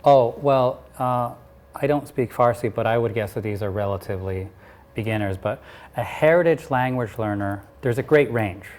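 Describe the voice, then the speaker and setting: A man speaking as an interview guest and explaining ideas about language learning. His voice sits at an everyday middle pitch. He keeps checking that his listener is following him. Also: moderate pace, a little mellow. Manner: checks in often with "right?" and "okay?". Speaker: interview guest, applied linguistics expert.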